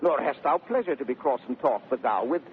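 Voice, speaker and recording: An actor playing a brash, teasing gentleman suitor in 1930s radio Shakespeare, declaiming with mock sweetness. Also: medium pitch, lively intonation, fairly quick, lightly acted. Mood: mock-tender. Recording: tinny, noisy 1930s radio recording.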